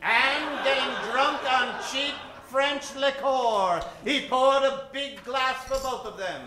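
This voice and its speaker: A man's voice: very high voice, takes it slowly, sharp tone, a little hiss or hum, exaggerated voice. A man playing a theatrical, melodramatic announcer and narrator in a 1940s-style radio serial. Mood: suspenseful. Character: excitable old-time radio announcer narrator. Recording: very echoey stage, distant mic, music behind.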